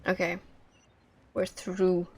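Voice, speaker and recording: A woman's voice: on the low side, completely flat delivery, slow pace, creaky, a little mellow, husky. A woman giving casual live commentary as a game streamer, wry and blunt.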